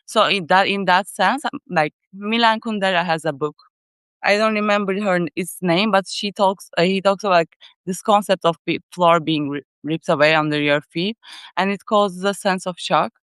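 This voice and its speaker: Soft-spoken woman with a Turkish accent. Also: lowish voice, moderate pace, clear, resonant tone, dry recording. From Turkey. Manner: soft, thoughtful, searching for words. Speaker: guest writer. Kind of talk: casual live interview.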